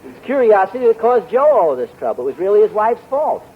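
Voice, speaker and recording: A man's voice: high voice, big pitch swings, fast talker. A man acting a teasing, easygoing husband in a 1940s radio sitcom. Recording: dull old radio broadcast with studio audience laughter and hiss.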